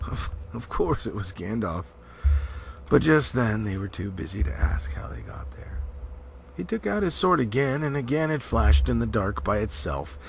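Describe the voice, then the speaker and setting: A man's voice: mid-range voice, sing-song, normal speed, gravelly. A man giving a theatrical, emphatic storybook narration as an amateur audiobook reader. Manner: theatrical, emphatic, with varying volume. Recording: noticeable background noise.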